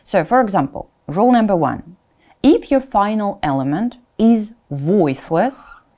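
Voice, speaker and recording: A woman teacher giving a pronunciation lesson, explaining to learners in an encouraging way and sounding out example words deliberately. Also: mid-range voice, animated, dark tone. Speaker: Russian language teacher.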